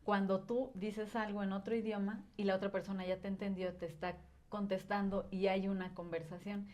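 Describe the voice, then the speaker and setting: A woman's voice: mid-range voice, little pitch movement, fairly quick, darkish tone. A woman, a language educator and podcast guest, explaining at length in casual conversation with frequent filler words.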